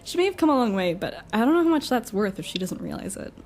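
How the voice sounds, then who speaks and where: A woman's voice: a little high, big pitch swings, fast talker, a little mellow. A woman acting a brash, encouraging superpowered party host in an audio drama, breezy and teasing.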